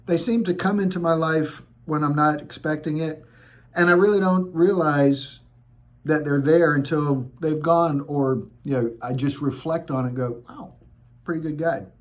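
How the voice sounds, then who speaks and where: A man's voice: a little high, takes it slowly. A middle-aged man talking to teenagers in a friendly, conversational way about the mentors in his life. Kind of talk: informal talk to teenagers.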